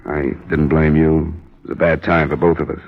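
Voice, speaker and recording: An actor playing a cool, hard-boiled investigator who narrates his case in a clipped, deadpan 1950s radio drama style. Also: a bass voice, moderate pace. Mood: calm, sympathetic. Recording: dull vintage radio recording.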